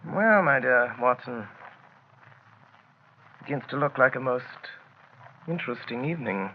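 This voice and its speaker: An actor playing a shrewd, unflappable gentleman detective, suave and drily polite, in 1930s radio drama style. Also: mid-range voice, a little slow, close to an everyday voice. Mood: brisk, intrigued. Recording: muffled, noisy 1930s radio recording.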